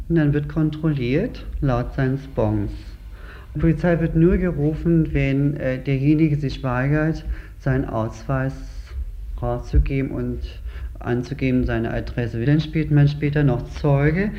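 A man's voice: on the high side, measured pace. A man explaining store theft procedures in a matter-of-fact interview manner. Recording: dull lo-fi tape.

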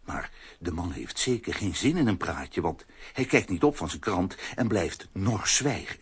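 A man's voice: sits low, sing-song, unhurried, breathy edge. A man narrating a children's audio drama in a scripted storybook style.

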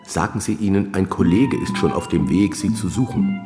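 An actor in a radio drama playing a proud, sardonic logician who appears as an apparition, haughty and theatrical. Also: male voice, low-pitched, moderate pace, natural voice. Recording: echoey long reverb, music behind.

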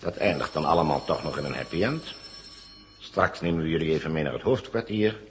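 An actor playing a smug, condescending villain in command in a vintage Dutch radio play. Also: male voice, a bass voice, lively intonation, brisk, music in the background. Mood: smug, probing. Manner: mock-friendly, condescending.

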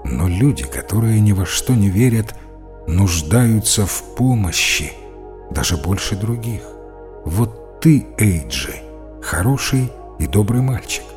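A man voicing a kindly but gently stern priest who counsels in a calm, encouraging, preachy way. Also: on the low side, a little slow, music in the background. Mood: gentle, consoling.

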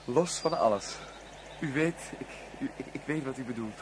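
A man's voice: medium pitch, normal speed, background noise, natural voice. An actor playing a polite, hesitant young house guest in a radio play, often repeating himself as he searches for words. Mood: agreeable. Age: young adult.

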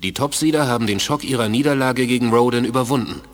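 A man plays an alien sovereign in distress, speaking at a middling pitch. His delivery is formal and urgent, full of dramatic pleading, in the manner of eighties German science-fiction radio drama. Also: fast talker, natural voice. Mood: anxious, urgent. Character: anxious male alien ruler pleading for help. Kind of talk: 1980s German radio play acting.

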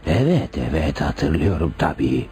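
An actor playing a timid, long-winded old bank cashier in a radio play, hesitant and deferential. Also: male voice, rumbling low, sing-song, normal speed, mellow. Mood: flustered, dithering. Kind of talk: Turkish radio theatre acting.

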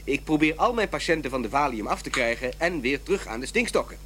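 A man's voice: medium pitch, expressive, quick pace, a bit bright, a little hiss or hum, natural voice. An actor playing a blunt, proudly smoking male doctor in an audio drama, with a dry, sardonic and theatrical manner.

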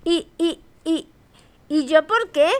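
A woman's voice: sits high, slow pace, darkish tone. A woman voicing a small male lion cub in a radio play, childlike, proud, indignant and stubbornly insistent. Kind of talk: radio play adapted from puppet show.

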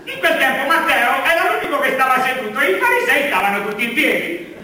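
In a distant, echo-filled theatre recording, an extremely high-voiced, frantic priest. He drones and barks mock-liturgical formulas, insistently repeating himself in absurd theatrical comedy. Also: very animated, a little fast, sharp tone, heavily acted. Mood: commanding, frantic. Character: frantic priest barking absurd mass and wedding rites. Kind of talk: theatrical stage comedy monologue. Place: theatre stage before laughing audience. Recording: echoey theatre stage, distant mic, noisy.

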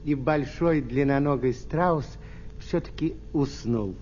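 Slow, mellow male storyteller. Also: medium pitch, muffled recording, faint music behind. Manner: expressive, deliberate phrasing with pauses. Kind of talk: scripted children's fairy tale narration.

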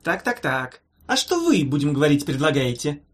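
Audiobook doctor: pompous, fussy, high-pitched, wheedling and sly, swooping pedantic patter. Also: male voice, very animated, brisk. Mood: sly, hinting. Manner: wheedling pedantic patter, tutting, padded with stock phrases. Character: pompous, fussy, sly male medical professor, falsely soothing.

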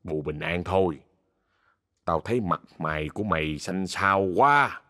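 A man as a low, gruff country bus driver. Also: expressive, measured pace, sharp tone, dry recording, studio quality, exaggerated voice. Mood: gruff concern. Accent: southern Vietnamese. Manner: blunt, folksy. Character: gruff, caring middle-aged bus driver, rustic, blunt.

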